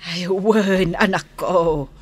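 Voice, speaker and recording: A woman's voice: fairly low pitch, very slow. A woman acting a worried, doting elderly mother in a radio drama, with an Ilocano accent and an anxious, plaintive delivery. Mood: relieved, doting.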